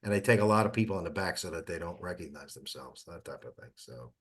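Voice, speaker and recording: A man giving an informal update on an online call in a halting, conversational way. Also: low-pitched, lively intonation, a bit bright, slightly rough. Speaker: meeting participant giving updates. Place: online teleconference.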